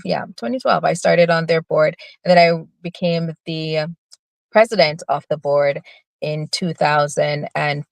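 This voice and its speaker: A woman's voice: deep voice, vocal fry, no echo. A woman in her forties from Jamaica, answering interview questions as a political candidate in an earnest, composed way.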